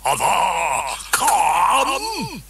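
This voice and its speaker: A man voicing a snarling demon that taunts in slow, spitting mockery, with a gravelly, screaming voice. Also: high voice, huge pitch swings, heavy background noise, pitched above the performer's own voice, exaggerated voice. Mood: raging taunts. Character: snarling demon possessing a girl.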